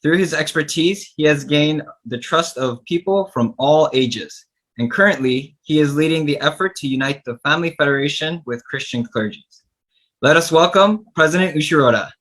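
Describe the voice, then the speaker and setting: A man's voice: fairly high pitch, normal speed, a bit bright. A man acting as master of ceremonies, reading a formal introduction of a speaker.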